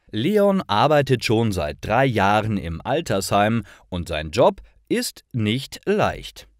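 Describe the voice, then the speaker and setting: A man narrates a simple story for language learners in a fairly low voice. His delivery is slow and deliberate, with careful enunciation. The recording is close and dry. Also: no room sound.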